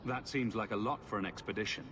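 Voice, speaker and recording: Man as a low-voiced confident adventurer. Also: fast, background noise, natural voice. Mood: dry. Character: level-headed human male adventurer, dryly confident. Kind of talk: video game voice acting. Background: loud music.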